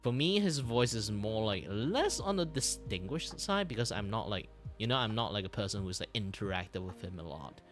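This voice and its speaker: A man who speaks English as a second language, chatting casually on a livestream in a halting, repetitive way. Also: lowish voice, music in the background. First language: not English. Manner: halting, repetitive, animated reactions. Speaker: streamer and animator.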